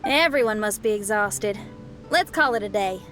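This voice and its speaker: A woman's voice: medium pitch, lively intonation, normal speed, a bit bright, soft background music, natural voice. A woman voicing a friendly, caring young traveler in a video game, casual and encouraging. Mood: cheerful. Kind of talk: video game character acting.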